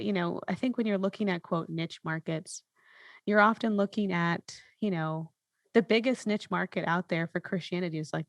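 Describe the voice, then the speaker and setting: Woman, low register with gravelly fry, thoughtful and searching, conversational podcast delivery. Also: dry recording. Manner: thoughtful, hesitant, searching phrasing with rhetorical "right?". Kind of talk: reflective conversational interview.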